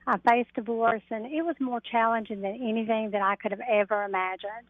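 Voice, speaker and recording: Female radio guest, middle-aged, higher-pitched voice, speaking over a thin telephone connection. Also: fairly even intonation, brisk, dry recording. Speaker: interview guest, mediator and coach. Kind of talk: radio interview, explaining. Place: phoned into a radio show. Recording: narrow telephone line.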